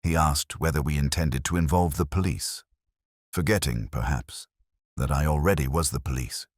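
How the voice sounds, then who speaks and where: A man narrating a scripted detective story as a brooding police inspector, in a measured, solemn, literary way. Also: rumbling low, dry recording, clean studio sound.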